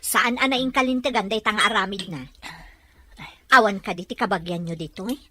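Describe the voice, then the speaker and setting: A woman's voice: medium pitch, a little fast, pushed and bright, a little hiss or hum, natural voice. A woman playing a kindly, frank neighbor in an audio drama, asking sympathetic questions. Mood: probing, kindly. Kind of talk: Ilocano audio drama acting.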